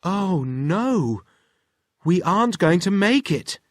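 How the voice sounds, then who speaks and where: A man's voice: on the high side, wildly swooping pitch, slow, fairly bright tone, dry recording, studio quality, pitched above the performer's own voice, full character voice. A man voicing a nervous young girl in a storybook read-aloud, anxious and worried.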